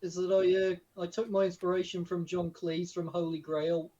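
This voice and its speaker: A tabletop player, a man with a soft-edged mellow voice set slightly high, chats casually. The voice chat sound is dull and lo-fi. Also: monotone, moderate pace.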